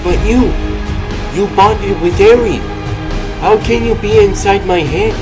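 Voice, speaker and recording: A man's voice: high voice, a little slow, over music. A man playing a desperate young superhero struggling against mind control, with an anguished, emphatic delivery in a comic dub. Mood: shocked, defiant.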